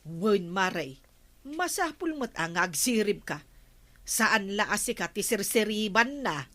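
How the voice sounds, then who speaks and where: An actress with an Ilocano accent playing a nagging, sharp-tongued village woman in a radio comedy drama. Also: mid-range voice, animated, unhurried. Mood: scolding.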